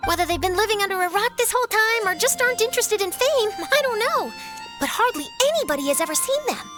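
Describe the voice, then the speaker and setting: An eager young reporter, with a girlish and extremely high voice. She speaks articulately and perkily in lively, swooping game-style delivery, over faint music. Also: fast talker. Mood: pensive. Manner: perky, articulate, inquisitive. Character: eager, inquisitive young female newspaper journalist. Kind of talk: animated video game voice acting.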